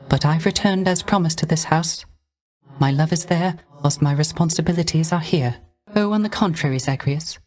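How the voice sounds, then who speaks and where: A male actor plays a melancholy dead male musician in a video game. His voice is light, soft and on the high side for a man. He speaks in courtly, old-fashioned phrases, wistful and a little hesitant, with theatrical gentleness. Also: close to an everyday voice. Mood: hopeful, earnest.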